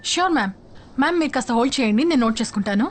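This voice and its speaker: Woman acting an eager high-voiced assistant, very fast. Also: expressive, slight background noise, natural voice. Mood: obliging. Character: eager young female forensic assistant. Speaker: actor in a dubbed film. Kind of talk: comic film dialogue.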